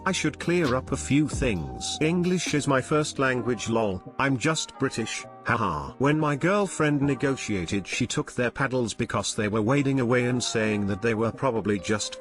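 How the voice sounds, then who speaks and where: A man reads story posts aloud in a steady, even manner, his voice sitting in a middle register. Soft music runs quietly beneath him the whole way through. He keeps the pace of a scripted narration. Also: mid-range voice. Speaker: narrator reading online posts.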